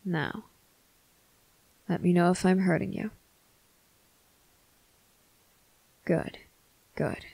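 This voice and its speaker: A performer playing a stern, protective male vampire officer in an ASMR roleplay, speaking deliberately and sometimes hushed, with a commanding manner. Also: medium pitch, steady tone, creaky, breathy edge, no room sound, heavily acted. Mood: stern, caring. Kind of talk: ASMR roleplay addressed to the listener.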